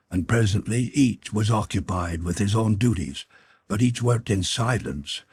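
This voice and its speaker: A man's voice: on the low side, a little mellow, a little hiss or hum. A man narrating an audiobook in a scripted literary storytelling style.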